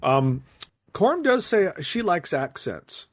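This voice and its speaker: Lively-pitched man co-hosting a podcast. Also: slow pace, no echo, clean studio sound. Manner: animated, joking, steering the talk. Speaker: podcast co-host. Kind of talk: casual chat on a live stream.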